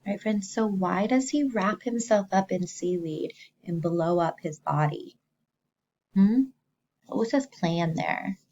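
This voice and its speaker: A woman teacher reading a story to young children, expressively, pausing to explain simply and ask the listeners questions. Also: medium pitch, a little mellow, highs cut off, a little hiss or hum.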